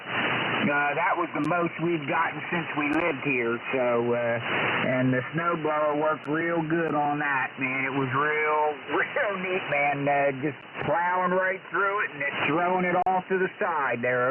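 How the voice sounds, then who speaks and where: A higher-pitched older man talks casually over a thin, narrow two-way radio signal. Heavy noise runs under his voice. His delivery is folksy and hesitant, like an unhurried chat between radio hobbyists. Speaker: amateur radio operator. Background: loud radio noise.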